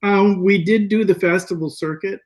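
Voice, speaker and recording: A man speaking as a guest filmmaker, telling stories in a casual, animated interview style. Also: sits high, normal speed.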